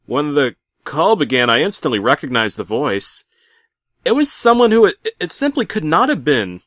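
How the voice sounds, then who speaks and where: A man playing a bitter middle-aged working dad who narrates a comic horror story with theatrical, aggrieved deadpan. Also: fairly high pitch, normal speed, no echo, clean studio sound, voice pushed up. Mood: alarmed. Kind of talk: comedic creepypasta narration.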